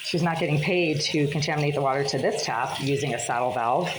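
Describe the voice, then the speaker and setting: A woman with a raspy voice speaking in a rambling, insistent, accusatory monologue to a phone in her apartment bathroom. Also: deep voice, brisk, noisy background. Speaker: vlogger.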